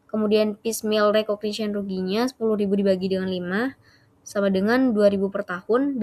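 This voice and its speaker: A young woman, speaking at a medium pitch. She explains material step by step like a student presenting to class, with a hesitant, self-correcting delivery. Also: a little mellow. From Indonesia. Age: young adult.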